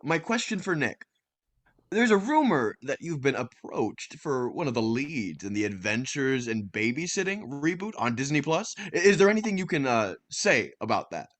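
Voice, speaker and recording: A man's voice: medium pitch, big pitch swings, moderate pace, a bit bright, dry recording. A man in a staged play reading, playing a press conference moderator who puts probing questions to a film cast. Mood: inquisitive.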